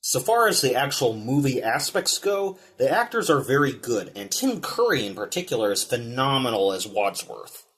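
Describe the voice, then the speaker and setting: A man reads a scripted film review with a very lively, expressive pitch range. His mid-pitched voice carries the narration as the host of a video essay. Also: normal speed, few pauses.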